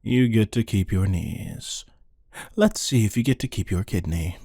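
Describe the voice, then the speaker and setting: A man acts out a cold-blooded killer interrogating a captive in an ASMR roleplay. His voice is deep and mellow, with a slow, deadpan delivery. He taunts with dry, menacing amusement. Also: creaky, husky, no room sound, heavily acted. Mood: cool menace. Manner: deadpan, taunting, unhurried with musing pauses. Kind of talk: scripted ASMR roleplay monologue.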